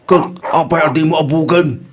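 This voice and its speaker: A man's voice: fairly high pitch, fairly even intonation, normal speed, lightly acted. A man playing a worried, cautioning village father in a radio comedy drama, fretful, with an Ilocano accent. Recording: clear noise of barking dog. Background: dog barking.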